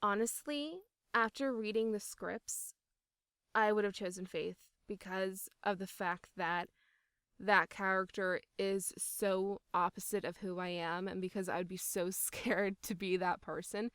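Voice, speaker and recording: A woman's voice: mid-range voice, fairly even intonation, measured pace, fairly bright tone, clear, resonant tone, no echo. Young woman, enthusiastic and emphatic, dry close sound. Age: young adult. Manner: enthusiastic, emphatic, laughing as she talks. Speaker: voice actor interviewee. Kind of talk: casual interview chat.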